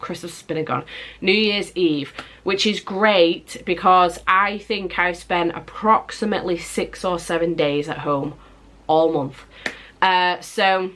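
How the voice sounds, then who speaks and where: A woman's voice: on the low side, fairly even intonation, measured pace, fairly bright tone. A young English woman chatting casually in a vlog, her nose stuffed up from a cold, rambling and swearing freely. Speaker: vlogger. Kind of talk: casual monthly vlog chat. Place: at home, indoors.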